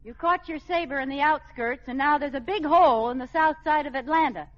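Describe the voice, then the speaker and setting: An actress in an old radio sitcom playing a good-humored housewife with a wry, indulgent manner. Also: female voice, sits high, brisk, lightly acted. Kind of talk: 1940s-50s radio sitcom acting. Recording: muffled old radio recording, faint noise.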